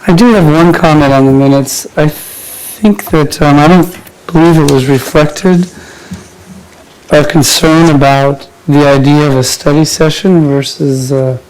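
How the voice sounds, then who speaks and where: A man's voice: fairly high pitch, fairly even intonation, measured pace, darkish tone, rough recording. A man chairing a city advisory committee meeting, his voice weakened by a cold, speaking in a procedural way with some hesitation. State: recovering from a cold, voice weak. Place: council chamber.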